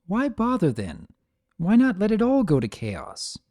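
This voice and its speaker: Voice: medium pitch, wildly swooping pitch, unhurried, mellow, no room sound, clean studio sound, voice pushed up. A performer voicing a stubborn, skeptical young mare pony in a fan fiction reading, insistent and protesting. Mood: insistent, exasperated.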